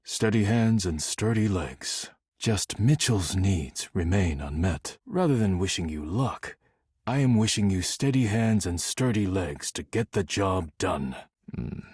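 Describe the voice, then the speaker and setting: A male actor playing a stern, proud, easily offended dishware character in a video game, speaking formally and curtly with solemn deadpan. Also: low-pitched, a little slow, slightly rough, no room sound, clean studio sound, exaggerated voice. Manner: formal, curt, deadpan, solemn, avoids contractions.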